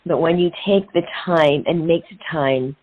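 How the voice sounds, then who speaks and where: A woman's voice: low-pitched, moderate pace. A middle-aged woman speaking as an interview guest and coach in an earnest, inspirational way, repeating phrases for emphasis. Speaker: guest, transformational and women's empowerment coach. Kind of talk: conversational interview, motivational storytelling. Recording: narrow phone-like sound.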